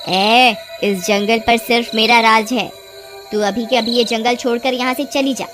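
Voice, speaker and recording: A woman's voice: on the high side, fairly even intonation, a little slow, lightly acted. A woman voicing a cruel female forest witch in a cartoon, with a taunting, menacing manner. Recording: music behind the voice.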